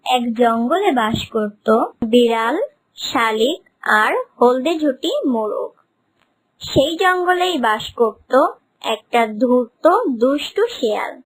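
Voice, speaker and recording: Female storyteller, slightly high voice, slow delivery, children's tale narration. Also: rough recording.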